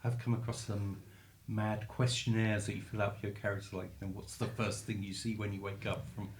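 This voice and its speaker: A man's voice: lowish voice, flat delivery, brisk. A man interviewing a writer in a conversational, musing and somewhat tentative way. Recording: faint background ambient noise.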